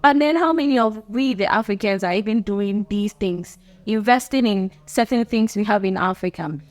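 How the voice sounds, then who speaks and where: A young woman from Ghana speaks with a Ghanaian accent in a middle-pitched voice. She hosts her own channel, talking earnestly and loosely to camera. She repeats her points and laughs lightly between them. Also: moderate pace. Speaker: channel host. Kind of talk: unscripted talk to camera.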